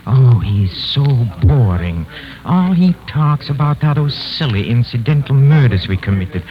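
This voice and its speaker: An actor playing a nervous, guilty murderer on the run, stammering and repeating himself in a 1940s radio thriller. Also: male voice, medium pitch, very animated, a little slow. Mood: bitter, proud. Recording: noisy, dull 1940s radio recording.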